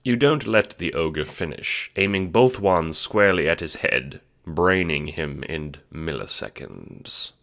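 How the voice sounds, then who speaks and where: Male narrator, deep register, scripted second-person storytelling, audio drama. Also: expressive, unhurried, creaky, few pauses, a bit bright.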